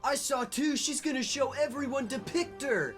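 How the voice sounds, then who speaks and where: A man as teen wizard boy, high yelping pitch, excitable, melodramatic, comic storybook, music behind. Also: moderate pace. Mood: alarmed. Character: excitable, panicky, angry teenage wizard boys.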